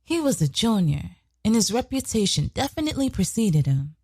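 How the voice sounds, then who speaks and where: A woman's voice: mid-range voice, big pitch swings, a little fast, dark tone, breathy edge, dry recording, studio quality. A woman playing a sly, brash young woman killer in an audio drama, telling her story in a sassy, profane, colloquial way. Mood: confiding, sassy. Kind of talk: scripted first-person narration, audio drama.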